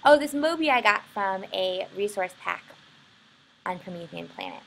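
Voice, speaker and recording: American female instructor for tutorial narration, informal and explanatory, lively intonation with a creaky edge. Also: mid-range voice, normal speed, low-quality recording. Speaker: software instructor.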